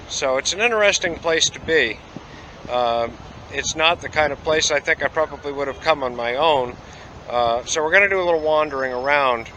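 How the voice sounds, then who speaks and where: A man vlogging a travel tour outdoors at a port, talking casually with lots of "uh" pauses. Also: medium pitch, sharp tone, slight background noise, low-quality recording. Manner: rambling, many "uh" pauses, easygoing. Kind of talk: casual travel vlog narration.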